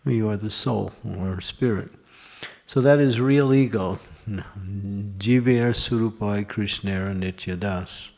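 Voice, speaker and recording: Low-voiced man with a gravelly edge, teaching scripture, explains patiently through rhetorical questions. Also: slow pace, darkish tone. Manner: explanatory, asks and answers his own questions; lists examples in short runs. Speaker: lecturer at a temple class. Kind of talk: scripture class talk.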